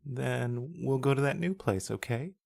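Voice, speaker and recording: Man voicing a lightly pitched, teasing wife. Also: unhurried, gravelly, darkish tone. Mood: teasing, coaxing. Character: playful, teasing wife chiding her serious husband. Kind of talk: audiobook character dialogue.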